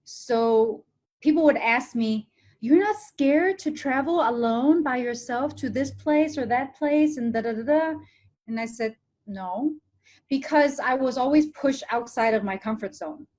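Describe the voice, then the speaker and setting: Laughing American-accented woman, higher voice. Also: a little slow, darkish tone. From Hong Kong, raised in Ohio, USA. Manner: reflective, laughs often, repeats phrases for emphasis. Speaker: guest, TV presenter. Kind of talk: casual interview chat. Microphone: remote call.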